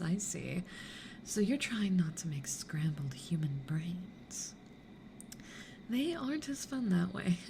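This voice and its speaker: A woman playing a playful, mischievous demon in a hushed, teasing, creaky ASMR roleplay whisper. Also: on the low side, full character voice. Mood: curious, playful. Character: playful, mischievous demon who toys with humans. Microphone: close studio condenser or binaural mic. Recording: clear background noise.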